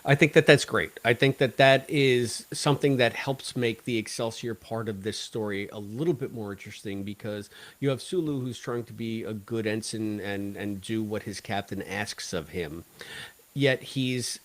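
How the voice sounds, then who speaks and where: A man's voice: on the low side, moderate pace, a bit bright. A man hosting a podcast in a casual, talkative style, discussing and reviewing drama while freely giving his opinions. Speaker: podcast host.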